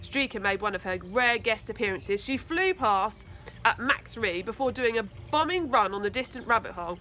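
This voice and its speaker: A woman's voice: fairly high pitch, expressive, normal speed, bright, forceful tone, slight background noise. A woman reading a children's book aloud, narrating expressively like a school storyteller.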